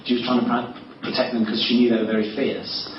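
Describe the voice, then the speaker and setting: A man speaking as a guest writer on a panel, telling anecdotes in a chatty, wry way with halting, repeated words. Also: medium pitch, little pitch movement. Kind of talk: anecdotal panel interview talk. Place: indoor convention panel room. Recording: noisy echoey room, distant mic, muffled.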